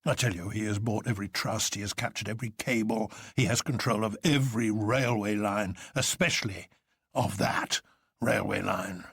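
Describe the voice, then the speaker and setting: A man playing a fierce, bitterly sardonic police inspector in a story, declaiming emphatically in a gravelly voice. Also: lowish voice, normal speed, a bit bright, dry recording, studio quality, exaggerated voice. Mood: bitter, vehement.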